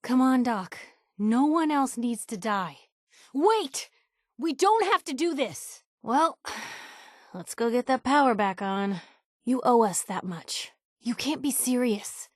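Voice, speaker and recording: A spunky young woman reporter as a video game companion, recorded close and dry. She talks in a wry, colloquial way, expressive and quick to wisecrack, with an earnest conscience underneath. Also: fairly high pitch, no echo. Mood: pleading, indignant.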